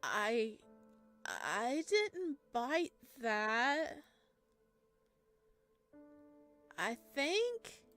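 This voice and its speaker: A woman voicing the frightened, halting heroine of a horror visual novel. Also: on the high side, sing-song, a bit bright, heavily acted. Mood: shaken doubt. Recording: music behind the voice.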